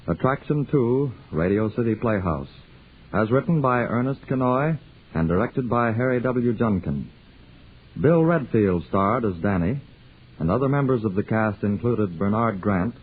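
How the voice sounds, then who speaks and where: A man's voice: lowish voice, a little hiss or hum. A man reading closing credits and a next-week preview in a formal, polished 1940s radio announcing style. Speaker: network announcer. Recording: tinny 1940s radio broadcast with music.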